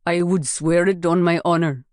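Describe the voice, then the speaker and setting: A woman's voice: lowish voice, fairly even intonation, normal speed, a little mellow, no room sound, clean studio sound, natural voice. A woman voicing a defiant male police officer, indignant and pleading with his captors.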